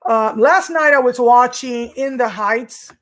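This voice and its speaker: A woman's voice: a little high, unhurried, fairly bright tone. A woman from Rico, hosting her own vlog and talking earnestly to camera, repeating words for emphasis. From Puerto Rico. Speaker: vlog host. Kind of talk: casual talk to camera.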